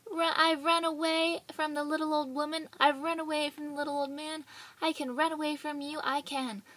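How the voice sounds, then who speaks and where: A woman's voice: sits high, flat delivery, moderate pace, fairly bright tone, voice pushed up. A woman voicing a small, cheeky gingerbread boy who taunts his chasers in a boastful sing-song. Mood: gleeful taunting.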